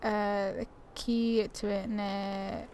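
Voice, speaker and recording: A woman's voice: medium pitch, little pitch movement, extremely slow, a little mellow, a little hiss or hum. A woman doing casual gameplay commentary and reading quest text aloud, chatty, informal and self-deprecating. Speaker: gaming YouTuber. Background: video game ambience.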